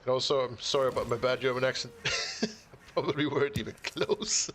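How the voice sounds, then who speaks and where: A man's voice: mid-range voice, fairly quick, fairly bright tone, slight background noise. Chuckling man narrating his game build. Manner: chuckling often, musing half to himself. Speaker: game streamer. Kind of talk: casual live-stream commentary while building.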